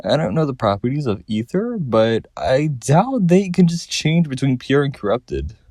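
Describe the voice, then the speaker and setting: A man's voice: mid-range voice, sing-song, moderate pace, darkish tone, no echo, clean studio sound. A man giving scripted commentary as the host of a theory video.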